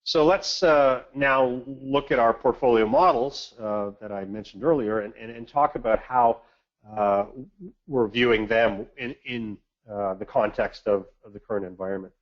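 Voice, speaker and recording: A man giving a measured, businesslike market briefing as an investment manager on a conference call, speaking in a halting, hesitant way. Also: mid-range voice. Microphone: conference call line. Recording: dull, band-limited conference call audio.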